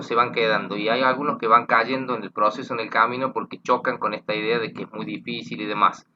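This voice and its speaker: A man's voice: medium pitch, pushed and bright, lo-fi sound, slight background noise. An Argentine man, a tango teacher, explaining earnestly and rambling with repeated words. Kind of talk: explanatory talk.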